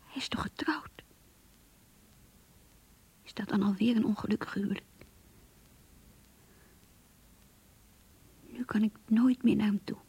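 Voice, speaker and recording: An actress playing an anguished, lovesick young woman confiding in her diary in a radio play, hushed and intimate. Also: mid-range voice, steady tone, moderate pace. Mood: heartbroken, bewildered. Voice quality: breathy, hushed, whispery. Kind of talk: Dutch radio play monologue.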